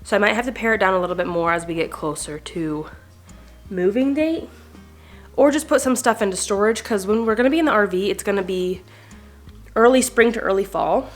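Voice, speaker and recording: A young woman talking in a casual, upbeat, informal way, as a vlogger addressing her viewers. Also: mid-range voice. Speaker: vlogger hosting a home declutter channel. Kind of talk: casual chatty vlog.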